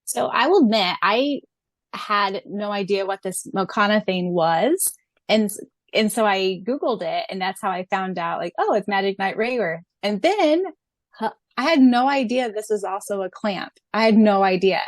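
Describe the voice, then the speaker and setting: Female co-host, mid-range voice, expressive casual podcast banter with a giggly manner, dry recording. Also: mid-range voice, moderate pace, no room sound. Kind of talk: casual fan podcast chat.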